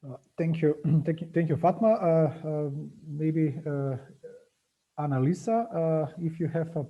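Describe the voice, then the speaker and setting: A man chairing an online session, hesitant and filling pauses with "uh". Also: a little high, muffled recording. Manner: hesitant, many "uh" fillers. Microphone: video call.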